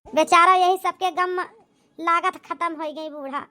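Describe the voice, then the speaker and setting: A woman's voice: extremely high pitch, fairly even intonation, unhurried, pushed and bright, full character voice. A woman playing a grumbling village wife in an amateur cartoon, with an Awadhi accent and a complaining, gossipy manner. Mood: sorrowful. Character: grumbling village wife and resentful daughter Poonam. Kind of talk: amateur comedy cartoon dialogue in rural dialect.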